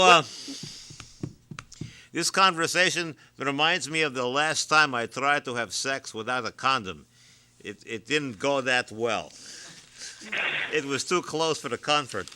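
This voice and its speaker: A man hosting a comedy radio show, teasing his guest in a deadpan manner and stammering on restarts. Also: on the high side, smooth.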